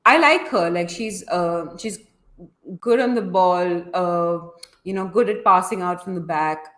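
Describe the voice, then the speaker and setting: A woman's voice: on the low side, measured pace, fairly bright tone, echoey room. A woman casually commentating and analysing a live football match, chatty and opinionated, feeling her way through her thoughts. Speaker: host of a football watchalong.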